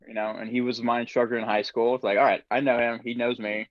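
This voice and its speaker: A man hosting a casual podcast conversation, telling relaxed stories with frequent laughs. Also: mid-range voice, quick pace, no echo, muffled recording. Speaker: podcast host.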